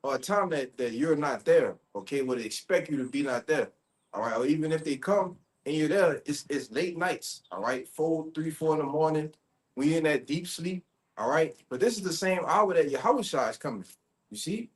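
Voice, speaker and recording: A man's voice: fairly high pitch, animated, normal speed. A man preaching informally on the street, expounding scripture and often checking in with tags like "all right?" and "you see?".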